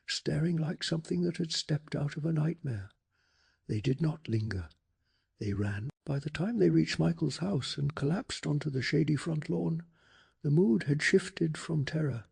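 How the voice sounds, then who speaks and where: A man softly narrating a bedtime story in an ASMR style, with a calm, hushed, breathy delivery and some gravel in the voice. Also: fairly high pitch. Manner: slow, calming, hushed delivery. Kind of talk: soft-spoken ASMR storytelling.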